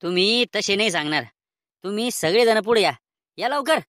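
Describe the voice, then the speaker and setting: A woman's voice: mid-range voice, lively intonation, moderate pace, a bit bright, dry recording, natural voice. Scolding village schoolmaster voiced by a woman, dry sound. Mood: demanding. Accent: rural Maharashtra. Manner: scolding, threatening, coarse. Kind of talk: comedy cartoon dialogue.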